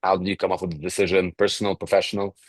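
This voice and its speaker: A man's voice: low-pitched, level tone, racing, no echo. A man hosting an interview, asking questions in a curious, casual and conversational manner. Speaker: interview host.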